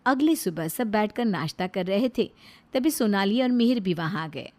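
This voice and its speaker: A woman's voice: medium pitch, expressive, moderate pace, background noise. A woman reading scripted narration for a moral story.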